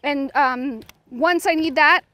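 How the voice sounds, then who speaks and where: A woman's voice: sits high, slow, pushed and bright. A middle-aged woman giving an informal cooking demonstration, instructing as she works. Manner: informal, instructive, talking while working. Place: outdoors on a lawn beside a food truck.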